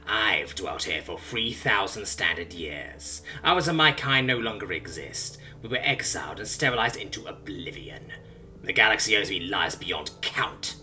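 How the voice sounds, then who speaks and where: A man voicing an ancient, bitter alien abductor with needle teeth, speaking slowly and menacingly, often in a whisper. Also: low voice, very animated, bright, forceful tone, soft background music, pitched below the performer's own voice, heavily acted. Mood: bitter rage.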